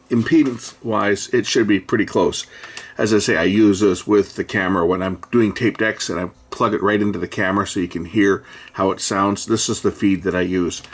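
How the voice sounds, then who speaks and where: A man's voice: on the low side, husky. A middle-aged man giving casual, hands-on commentary while demonstrating electronics at an indoor workbench. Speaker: presenter of an electronics repair video. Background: music from tape and player.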